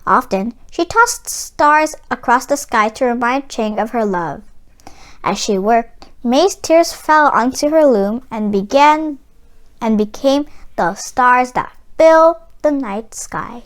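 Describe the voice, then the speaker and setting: A woman narrating a children's storybook as an expressive read-aloud. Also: a little high, slow. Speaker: storybook reader.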